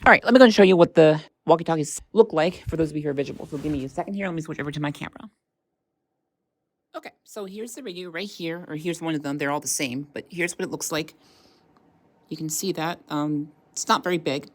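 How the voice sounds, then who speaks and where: A woman's voice: deep voice, quick pace. A woman giving a casual tech review as a vlog host, talking in a hesitant, rambling way. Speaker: product reviewer and channel host.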